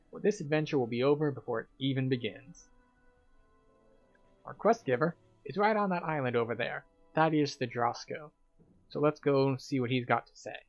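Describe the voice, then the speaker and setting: A man's voice: medium pitch, highs cut off. A man hosting a game tour, explaining each step in an instructional, lightly playful way. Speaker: host of a game playthrough guide. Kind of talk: guided game commentary, partly scripted. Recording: music playing behind the voice.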